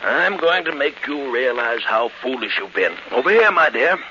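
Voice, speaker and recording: Fussy, coaxing uncle, very animated. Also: male voice, mid-range voice, normal speed, a little hiss or hum. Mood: insistent, alarmed. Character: smooth-talking, falsely kindly older uncle. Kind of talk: 1940s radio acting. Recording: muffled old 1940s radio recording.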